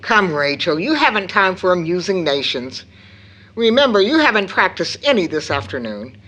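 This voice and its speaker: An actress playing a fond, tired, gently chiding mother in an audio drama, with a measured way of talking. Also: lowish voice, very animated. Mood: brisk chiding. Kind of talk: stage-play audio drama acting.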